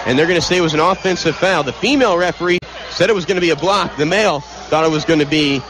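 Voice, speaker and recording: Male play-by-play sportscaster, high register, punchy clipped radio calls over heavy crowd noise. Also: low-quality recording. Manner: vivid, clipped, rapid-fire calls. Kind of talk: live basketball radio commentary. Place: indoor basketball arena. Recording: loud crowd noise behind, live arena broadcast. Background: loud crowd.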